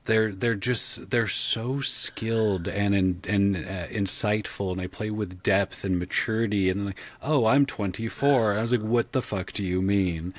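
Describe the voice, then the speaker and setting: A man's voice: lowish voice, measured pace, hardly any pauses, no echo. A middle-aged man chatting casually as a podcast guest, wry and sweary.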